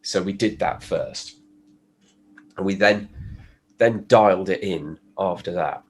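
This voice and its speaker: Low-voiced man with a cold. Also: slow. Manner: relaxed, explanatory, with fillers and restarts. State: stuffy from a cold. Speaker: guest, pickup designer. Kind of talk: casual podcast conversation.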